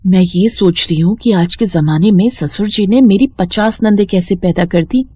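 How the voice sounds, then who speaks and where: A woman's voice: mid-range voice, normal speed, warm tone, husky, dry recording, lightly acted. A woman voicing a good-natured, joking young new bride, chatty and obliging, in a story.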